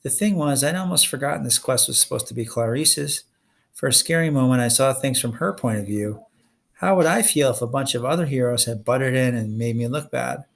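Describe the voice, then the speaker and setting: A man with a creaky voice reading a novel aloud as a read-along narrator, expressive with the dialogue. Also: mid-range voice, brisk. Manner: expressive reader who acts out the dialogue.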